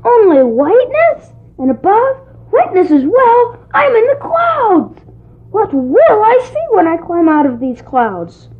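A woman's voice: very high voice, huge pitch swings, a little slow, heavily acted. A woman voicing Jack, an earnest, hopeful young boy, in an old radio-style drama. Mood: wondering. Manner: earnest, eager boyish delivery. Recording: tinny phone-like old recording.

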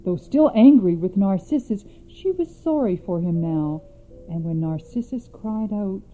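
A woman plays a young princess spinning old myths for her aunt in a radio drama. She narrates calmly and evenly at a middle pitch. The sound is thin and tinny, like over a phone line. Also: soft background music, lightly acted. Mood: storytelling. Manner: calm, measured narration. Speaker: storyteller. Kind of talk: scripted storytelling in a radio drama.

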